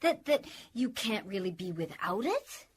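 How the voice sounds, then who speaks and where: A woman's voice: medium pitch, very animated, measured pace, a little hiss or hum. A woman playing an earnest, exasperated mother in a radio comedy, prim and scolding. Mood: disappointed, earnest. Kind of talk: sitcom-style radio comedy acting.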